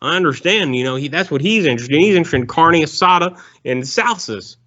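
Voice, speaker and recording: A man's voice: fairly high pitch, animated, quick pace, bright, forceful tone. A man voicing a rambling, bigoted Southern ex-convict on a prank call, with a folksy drawl and calling everyone sir. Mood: ranting. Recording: dull lo-fi phone call.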